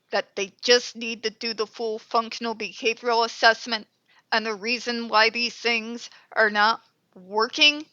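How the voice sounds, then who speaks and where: A stuffed-up woman talks through a headset, blunt and exasperated, cursing without a second thought. Her voice is bright and on the high side. The sound is dry and a little dull. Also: no room sound. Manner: blunt, exasperated, swears freely. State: stuffed up, congested. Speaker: commentary channel host. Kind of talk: ranting commentary.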